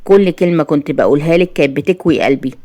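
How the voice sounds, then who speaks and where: A woman's voice: low-pitched, fast, a little mellow, lightly acted. A woman voicing a lovesick, easily exasperated young husband in Egyptian Arabic, earnest and remorseful, in a told story. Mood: pained, remorseful.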